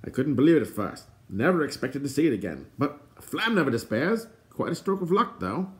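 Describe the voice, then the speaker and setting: An upbeat, optimistic bard from a fantasy storybook, played by a male reader. His voice is lifted a little above a natural speaking pitch. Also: sing-song, brisk, lightly acted. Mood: relieved, cheerful.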